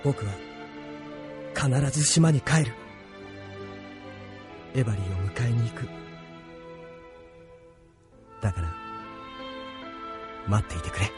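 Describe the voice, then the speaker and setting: Man acting an earnest, expressive young anime hero. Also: mid-range voice, a little slow, pauses often, lightly acted. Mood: pleading, firm. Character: earnest, resolute young male space pilot hero. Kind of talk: Japanese anime audio drama acting. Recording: studio drama with background music.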